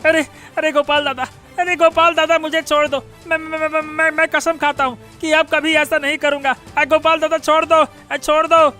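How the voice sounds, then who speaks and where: A sneaky village thief for a Hindi cartoon. The voice is extremely high, sharp and forceful, greedy and cowardly in character. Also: male voice, flat delivery, moderate pace, few pauses, faint music behind. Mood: pleading. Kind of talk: Hindi cartoon story dialogue.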